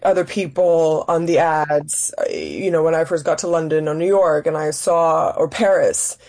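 A woman from Denmark, an actress and writer, answering interview questions in a thoughtful, measured way. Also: deep voice, steady tone, a little mellow. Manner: thoughtful, measured, searching for words.

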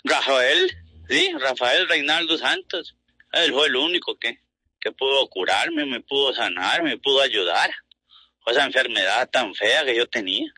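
A man from Honduras gives a spoken testimonial. His voice is intensely bright and husky, in a dry sound, and he talks plainly, haltingly and with repetition. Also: medium pitch, sing-song, no room sound. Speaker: client giving a testimonial.

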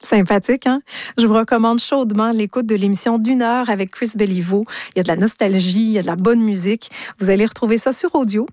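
A woman's voice: medium pitch, steady tone, fast, darkish tone, dry recording, studio quality. A woman hosting a radio interview, articulate and addressing her guest formally. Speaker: radio host.

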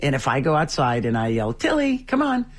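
A man's voice: huge pitch swings, a little fast. A man hosting a morning radio show, chatting casually and telling stories with chuckling asides. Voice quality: deep, slightly husky voice.

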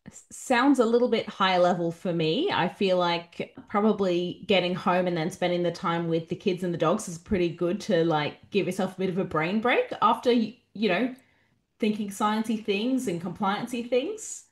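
A woman's voice: on the low side, moderate pace. Chatty woman hosting an interview. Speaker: podcast host, interviewer.

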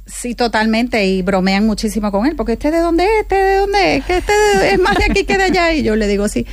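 Woman chatting warmly as a guest. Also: on the high side, fairly quick. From Venezuela. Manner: lively, repeats words for emphasis. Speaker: guest, piñata maker. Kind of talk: casual radio chat.

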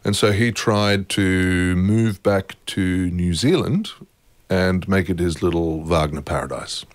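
Brief: middle-aged male guest, deep tone, easygoing and self-mocking, dry studio sound. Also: takes it slowly, no room sound. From born in England, raised in Germany and Australia. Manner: wry, self-deprecating, chatty with laughs. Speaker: guest, opera music head. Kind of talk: relaxed conversational interview.

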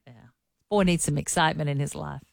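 A woman's voice: sits low, steady tone, fast talker, gravelly, no room sound, clean studio sound. A woman co-hosting a morning radio show, teasing her co-host with wry remarks. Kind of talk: casual morning radio banter.

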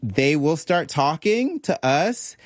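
A man comedian chats playfully as a guest. His voice sits a little high. The sound is dry and close. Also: big pitch swings, slow pace, dry recording. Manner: playful, joking. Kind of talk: casual chat.

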